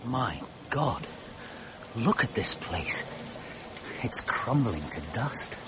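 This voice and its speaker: An actor playing an earnest, polite young provincial art student in a period drama. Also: male voice, mid-range voice, big pitch swings, takes it slowly, very noisy. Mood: polite, curious. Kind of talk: period radio drama acting. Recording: dull recording with crowd behind. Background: crowd murmur.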